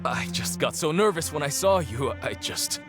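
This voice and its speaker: An actor playing a nervous, fawning, stammering underling in a video game. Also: male voice, on the high side, expressive, moderate pace, music in the background, lightly acted. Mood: flustered, fawning. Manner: stammering, flustered, deferential. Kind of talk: video game character acting.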